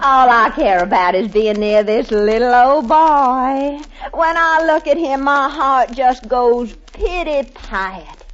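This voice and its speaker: A woman's voice: sits high, slow, hardly any pauses. An actress playing a gushing, boy-crazy young college girl with a sugary Alabama accent in an old radio sitcom. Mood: sweetly scheming. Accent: Southern US, Alabama. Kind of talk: 1950s-style radio sitcom acting. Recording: dull old radio recording, noisy studio audience.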